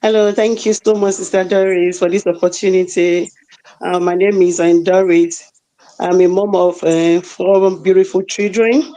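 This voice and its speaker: Mellow, slow-spoken woman from Nigeria. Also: on the low side, steady tone. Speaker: guest on live stream.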